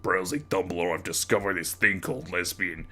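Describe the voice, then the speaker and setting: A man voicing a self-assured young genius sister in a comic story reading, deadpan and declarative. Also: deep voice, fairly quick, voice pushed down. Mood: matter-of-fact.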